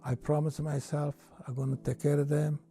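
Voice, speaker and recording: An older man from Italy with an Italian accent and broken English, slowly reminiscing in an interview with many restarts. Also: fairly high pitch, level tone, over music.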